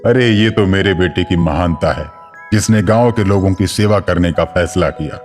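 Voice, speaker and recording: A man's voice: low voice, normal speed, a little mellow, faint music behind, close to an everyday voice. A man playing an earnest, helpful character in a cartoon village story. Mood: proud.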